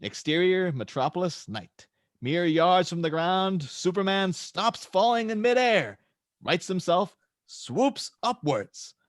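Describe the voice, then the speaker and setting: A man's voice: high voice, expressive, slow pace, dry recording, studio quality. A man reading stage directions as the narrator of a dramatic superhero script table read.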